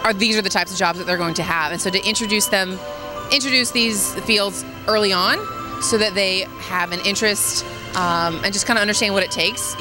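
A woman's voice: medium pitch, fairly even intonation, a little fast, music in the background. A woman teacher giving an earnest on-camera interview at a school expo, with a few restarts. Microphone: handheld mic held near.

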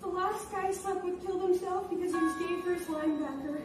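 An anxious, despairing teenage girl from a stage musical. Her voice is high and sounds distant in a very echoey space, with music beneath it. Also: steady tone, fast. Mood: distressed, confessing. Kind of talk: musical theatre monologue and singing.

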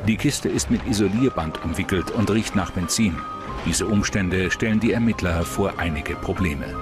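Deep-voiced male true-crime narrator, music underneath. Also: normal speed. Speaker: documentary narrator.

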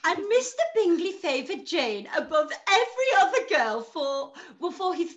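Gushing, high-pitched English mother. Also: a bit bright, exaggerated voice. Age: middle-aged. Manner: effusive, breathless exclamations, drawn-out words. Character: excitable, gushing, indignant middle-aged mother, Regency gentlewoman. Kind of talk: theatrical period drama read-through. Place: room at home, on a video call.

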